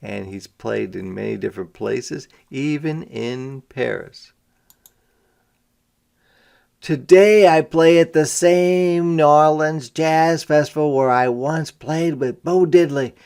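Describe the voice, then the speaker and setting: Slow, slightly high-voiced man reading aloud. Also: gravelly, a little mellow, clear, resonant tone. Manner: dramatic, deliberate delivery with emphasized character lines. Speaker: read-aloud teacher for young children. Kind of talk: expressive picture-book read-aloud with simple explanatory asides.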